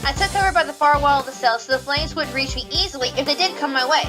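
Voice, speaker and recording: A woman's voice: sits high, quick pace, loud music behind the voice, close to an everyday voice. A woman playing a young, earnest rabbit police officer, formal and assertive, in a courtroom audio drama. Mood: assured, explanatory. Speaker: voice actor in a fan audio drama.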